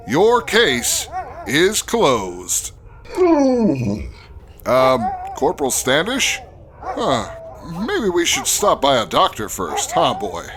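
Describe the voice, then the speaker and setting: A man playing a stalwart, declamatory Mountie sergeant in an old-time radio adventure parody. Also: mid-range voice. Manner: declamatory, heroic.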